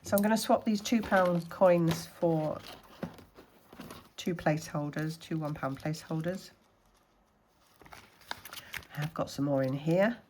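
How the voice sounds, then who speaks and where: Snuffly low-voiced woman counting savings. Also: takes it slowly, pauses often, darkish tone, a little hiss or hum. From Scottish Highlands. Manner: chatty, counting money aloud. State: snuffly from a cold. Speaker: budgeting vlogger. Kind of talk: casual talk-through of savings challenges.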